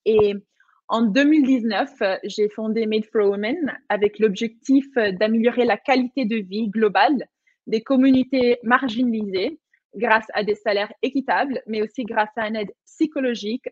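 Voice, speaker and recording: A woman's voice: fairly high pitch, normal speed, no echo. A young woman from Madagascar, a panel guest speaking on a video call, hesitant with many fillers as she searches for words. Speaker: panel guest, social entrepreneur. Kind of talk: online panel talk. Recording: dull lo-fi sound.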